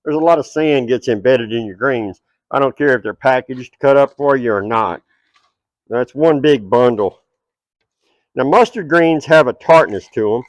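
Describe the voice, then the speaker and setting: A man's voice: mid-range voice, moderate pace, no room sound, highs cut off. A middle-aged man with a Texas twang, hosting a cooking show at an outdoor backyard table and chatting straight to his viewers.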